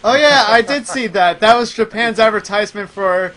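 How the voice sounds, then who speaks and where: A man's voice: high voice, moderate pace, crowd noise. A man giving casual let's play commentary, exclaiming at the game as he plays it. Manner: exclaims at the game while playing. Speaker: player in a gaming show.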